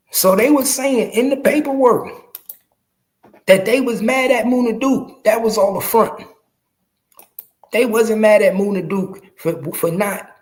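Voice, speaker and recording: A man in his early forties giving casual, slangy street commentary to his viewers, emphatic and profane, repeating phrases to drive them home. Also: high-pitched. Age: early 40s. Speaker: podcast host.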